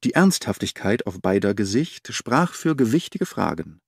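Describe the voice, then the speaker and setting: A man narrating an audiobook in a measured, expressive reading. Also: on the low side, vocal fry, slightly rough, no echo, clean studio sound.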